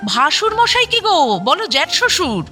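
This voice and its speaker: Bright, high-pitched nagging wife. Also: female voice, big pitch swings, normal speed, faint music behind, heavily acted. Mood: delighted curiosity. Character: sharp-tongued, nagging yet fond wife and mother-in-law. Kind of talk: audio drama acting.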